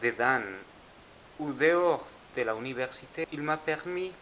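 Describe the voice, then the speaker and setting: A young international student is interviewed for a documentary. He speaks slowly and deliberately, with frequent pauses. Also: fairly high pitch, sharp tone, a little hiss or hum, poor audio.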